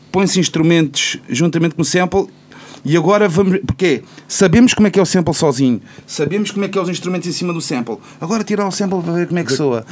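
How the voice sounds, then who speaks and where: A man's voice: a little high, a little mellow. A man, a musician explaining things casually in an interview, often repeating words as he goes. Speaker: musician, band member being interviewed.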